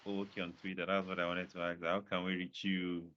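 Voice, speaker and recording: A man's voice: low-pitched, completely flat delivery, brisk, dull audio, slight background noise. A man hosting a webinar and wrapping up with repeated thanks to guests and viewers. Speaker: webinar host. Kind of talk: closing remarks on a webinar.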